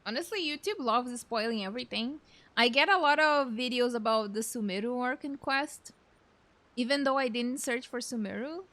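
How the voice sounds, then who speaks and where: A woman's voice: fairly high pitch, moderate pace, a bit bright. A woman giving casual let's play commentary as a game streamer, chatting and reacting as she goes.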